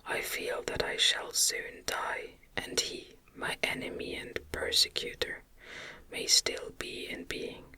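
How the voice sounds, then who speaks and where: A woman reading a novel aloud as ASMR narration in a gentle, soothing, breathy whisper. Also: slow pace.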